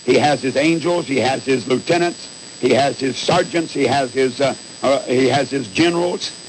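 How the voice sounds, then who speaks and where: A man's voice: medium pitch, moderate pace. A man hosting a live religious call-in radio show as a preacher, speaking earnestly and emphatically and often repeating himself. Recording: hissy, noisy radio broadcast recording.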